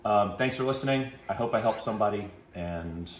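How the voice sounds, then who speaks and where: A man in his forties, a podcast host, walking through a casual how-to at home with a low voice and a relaxed, rambling manner full of fillers. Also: fairly quick, slight background noise. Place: home kitchen, indoors.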